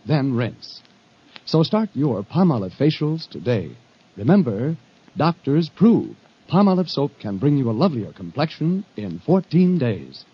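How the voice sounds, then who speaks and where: A man reading a scripted vintage radio advertisement with authoritative, deliberate emphasis. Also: mid-range voice, breathy edge. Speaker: commercial announcer. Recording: muffled vintage radio recording.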